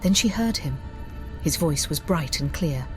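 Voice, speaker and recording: A woman storyteller reading scripted fantasy narration in a measured, theatrical way. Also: low-pitched, lively intonation. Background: music bed.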